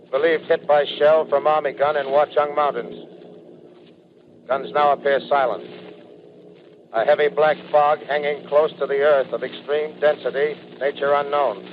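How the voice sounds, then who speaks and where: A man's voice: a little high, phone-quality sound, close to an everyday voice. An actor playing a male army bomber pilot giving terse, clipped reports by radio in a 1930s radio drama, on a scratchy old broadcast.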